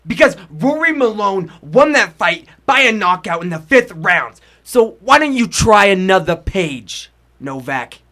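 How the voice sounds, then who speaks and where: An actor playing a tough, sarcastic, needling police detective with a menacing edge in a 1940s radio drama. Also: male voice, sits high, very animated, unhurried, sharp tone, exaggerated voice. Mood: skeptical, needling. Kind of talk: 1940s radio noir acting.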